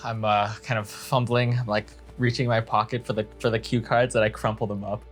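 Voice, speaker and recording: A man playing a tabletop game, improvising in-character speeches in a halting, theatrical way. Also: on the low side, level tone, soft background music.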